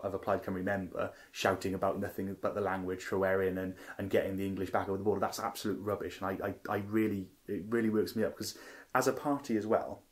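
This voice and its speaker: Deep, flat-voiced man from Wales. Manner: earnest, restarts often, rattles off figures. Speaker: parliamentary candidate. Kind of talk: radio interview answers.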